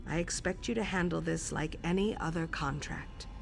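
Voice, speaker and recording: Low-voiced, composed assassin superior. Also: female voice, creaky, soft background music. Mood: wry, commanding. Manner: measured, dryly wry, commanding. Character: composed sardonic female assassin guild superior briefing an initiate. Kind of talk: scripted video game quest dialogue.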